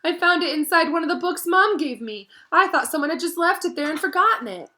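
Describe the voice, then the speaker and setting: A woman voicing a frightened, guilty young schoolgirl in a storybook, halting and tearful. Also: extremely high pitch, animated, pushed and bright, pitched above the performer's own voice, exaggerated voice. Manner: sobbing, halting. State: crying. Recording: music behind the voice.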